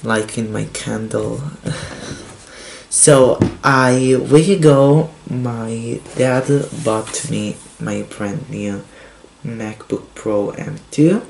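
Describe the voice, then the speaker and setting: A teenage boy from Israel, a native Hebrew speaker, talking in halting English with a Hebrew accent and many fillers while showing his laptop. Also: medium pitch, creaky, a little mellow. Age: teens. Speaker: tech vlogger. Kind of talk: casual unboxing and review vlog. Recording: roomy echo, faint hiss.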